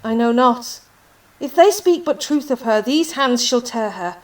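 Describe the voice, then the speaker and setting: A woman's voice: fairly high pitch, expressive, measured pace, a little mellow. A woman playing a noble mother, grieving and angry, in a Shakespearean radio play. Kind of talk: Shakespearean radio drama acting. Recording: home recording, slightly distant mic.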